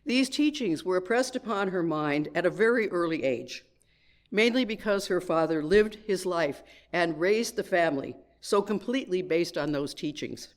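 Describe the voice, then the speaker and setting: Woman telling a measured story to a congregation. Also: lowish voice, animated. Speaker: speaker giving a children's message at church.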